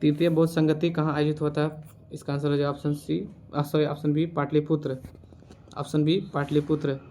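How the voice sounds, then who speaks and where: A man's voice: on the high side, flat delivery, a little fast, darkish tone, slight background noise. A man teaching as a quiz host, reading out questions and answers in an instructional way and repeating the answers. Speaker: quiz teacher for exam preparation.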